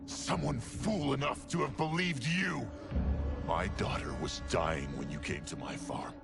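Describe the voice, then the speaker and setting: A man playing an enraged, grieving farmer and cheated father in a video game, gravelly and accusing. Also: medium pitch, wildly swooping pitch, unhurried, heavily acted. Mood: bitter grief. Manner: accusing, emphatic, shouting at peaks. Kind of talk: dramatic video game acting. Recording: music under the voice.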